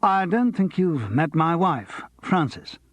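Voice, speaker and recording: A wry, evasive jobbing actor with a lively, slightly husky voice, as a male narrator plays him. Dry and close to the mic. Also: on the high side, slow, dry recording, voice pushed up, exaggerated voice.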